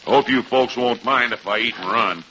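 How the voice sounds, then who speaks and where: Actor as sharp, bright, breezy slangy pal. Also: male voice, mid-range voice, moderate pace, lightly acted. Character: breezy, slangy male pal, cheerful moocher. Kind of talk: 1950s radio sitcom acting. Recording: faintly noisy, narrow old radio recording.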